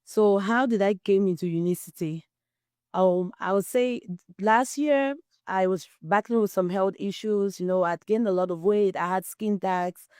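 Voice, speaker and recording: A woman's voice: mid-range voice, unhurried, a little mellow, smooth, no echo. Woman from cameroon, middle-aged, earnest story telling, dry close sound. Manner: earnest, testimonial, quotes herself often. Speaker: guest, wellness distributor. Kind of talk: interview, personal story.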